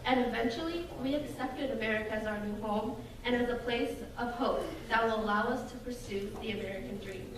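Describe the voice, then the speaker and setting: A young woman from Russia delivers a prepared speech to an audience in an earnest, measured way. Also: on the high side. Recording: echoey hall from far off, noisy.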